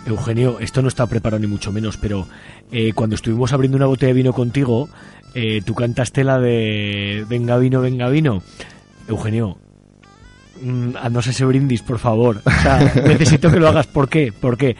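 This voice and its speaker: A man's voice: medium pitch, steady tone, moderate pace, darkish tone. A man with a mid-range voice and a Spanish accent from Spain hosts a casual radio interview. He is playful and informal, coaxing his guest along, with faint music underneath.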